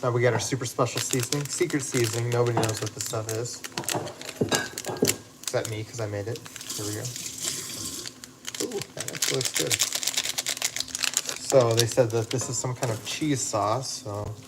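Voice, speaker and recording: A man's voice: medium pitch, moderate pace, pauses often, heavy background noise. A man hosting a casual live cooking stream from a home kitchen, joking around and correcting himself mid-sentence. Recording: kitchen clatter behind. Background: dishes and pots clanking.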